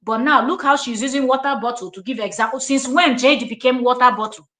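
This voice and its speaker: Woman, Nigerian accent, fairly high voice, commentary host, combative, emphatic, repeats herself to drive points home. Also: quick pace, a bit bright. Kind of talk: reaction commentary.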